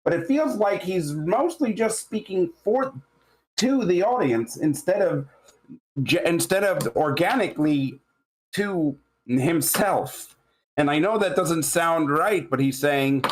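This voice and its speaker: A man giving casual critique in a halting, rambling way, often searching for words. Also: high-pitched, lively intonation, rough recording. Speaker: table read participant giving feedback.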